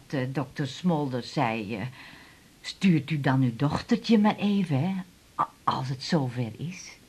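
A woman's voice: low voice, darkish tone, husky. An actress playing a middle-aged mother, fussy and stammering, who chats hesitantly about her teenage daughter. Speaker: patient's mother. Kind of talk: 1980s radio drama acting.

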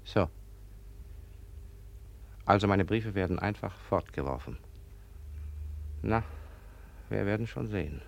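A man playing an irritable, proud young Russian gentleman in a 1950s German radio drama, agitated and sharp-tongued. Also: lowish voice, expressive, slow pace, creaky, pauses often, full character voice. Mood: bitter, suspicious.

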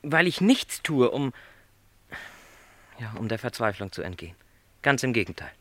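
A man's voice: medium pitch, sing-song, normal speed, fairly bright tone. An actor playing a lonely middle-aged travelling salesman in a literary radio play, speaking with courteous, reflective resignation. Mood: resigned.

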